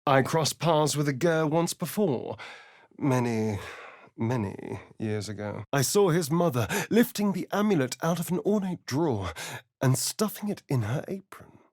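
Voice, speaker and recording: A man's voice: fairly high pitch, expressive, measured pace, darkish tone, no room sound, studio quality. An actor playing a sly, vain, sardonic male vampire rogue in a video game, with an arch, drawling, theatrical delivery. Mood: reflective. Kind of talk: theatrical video game character lines and narration.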